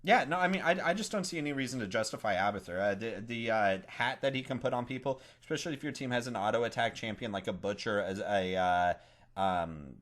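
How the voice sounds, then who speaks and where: A man co-hosting a gaming podcast in casual discussion, animated and given to exaggeration, often stumbling mid-thought. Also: lowish voice, fairly quick. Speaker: podcast co-host.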